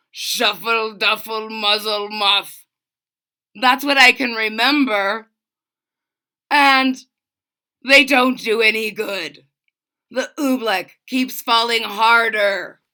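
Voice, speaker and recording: A woman's voice: on the high side, steady tone, slow, bright, forceful tone, clear, resonant tone. A woman voicing a blustering, proud old male king in a storybook, groaning and bellowing his lines. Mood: fretful.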